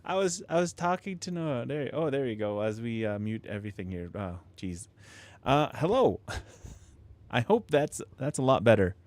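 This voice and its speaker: A man's voice: mid-range voice, sing-song, moderate pace. A man hosting a live stream from his home setup, chatting casually to viewers with lots of restarts and fillers, close to his microphone. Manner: rambling, many restarts and fillers, chuckles often. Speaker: livestream host building model kits. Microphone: close studio microphone with proximity effect.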